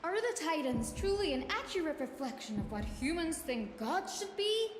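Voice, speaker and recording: A woman's voice: very high-pitched, big pitch swings, normal speed, background noise, voice pushed up. A woman voicing a proud, defiant male scholar in a video game, declaiming in a lofty, formal way. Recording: echoey reverb with music behind.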